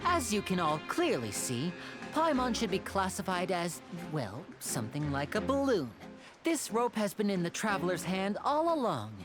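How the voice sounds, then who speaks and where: A woman's voice: mid-range voice, big pitch swings, moderate pace, over music, natural voice. A woman voicing a suave, polite young male stage magician in a video game, with a showman's courteous manner. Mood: showy. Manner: showmanlike, courteous, smooth-talking.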